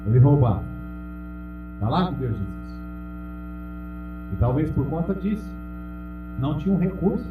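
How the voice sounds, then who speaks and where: A man's voice: sing-song, moderate pace, pauses often, narrow, tinny audio. Brazilian male pastor, emphatic sermon delivery, distant mic in a very echoey hall, music underneath. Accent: Brazilian Portuguese. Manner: emphatic preaching, calls out to the congregation, drops low and loud on key words. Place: church hall. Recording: echoey, from far off, music behind.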